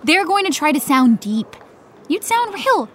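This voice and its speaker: A woman's voice: sits high, sing-song, measured pace, a bit bright, a little hiss or hum, exaggerated voice. A woman playing a teasing, pushy but supportive teenage best friend in naturalistic podcast drama, speaking emphatically. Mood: encouraging. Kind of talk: naturalistic fiction podcast acting.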